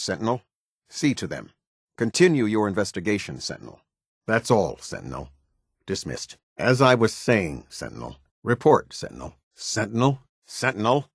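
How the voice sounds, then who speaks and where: Low-voiced, slightly husky military captain, strict and formal, issuing clipped orders for a video game. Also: male voice, no room sound, clean studio sound, full character voice. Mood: stern, commanding. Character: stern, disciplined male military captain giving orders. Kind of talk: scripted video game dialogue.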